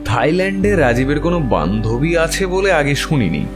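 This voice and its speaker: Low-voiced man narrating over guitar. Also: sing-song, fairly quick. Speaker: audio story narrator. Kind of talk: scripted first-person story narration. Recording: prominent guitar music bed. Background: plucked guitar music.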